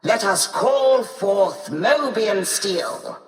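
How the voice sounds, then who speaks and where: An actor playing a grandiose, devout machine-cult priest in a video game, speaking in a haughty, ceremonial, archaic way. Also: male voice, sits high, animated, extremely slow, exaggerated voice. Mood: reverent. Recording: distant, echoey hall reverb.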